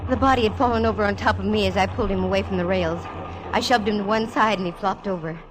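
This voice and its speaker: A woman's voice: mid-range voice, fast talker, very noisy, close to an everyday voice. An actress playing a sassy, wisecracking female private eye, with wry, punchy delivery in 1940s radio style. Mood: tense narration. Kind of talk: 1940s radio detective acting and narration. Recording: vintage radio broadcast with music behind.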